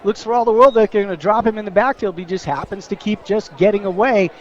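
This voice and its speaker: A man's voice: sits high, fast, dull audio. A man giving live football color commentary on the radio, recapping plays in a colloquial, colorful way. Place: outdoor football stadium broadcast.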